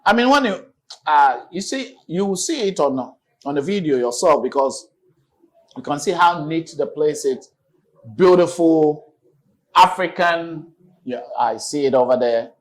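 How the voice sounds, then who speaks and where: A man hosting a casual on-location chat in a small restaurant, lively and promotional. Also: fairly high pitch, measured pace. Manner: lively and promotional, urging viewers to visit. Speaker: channel host.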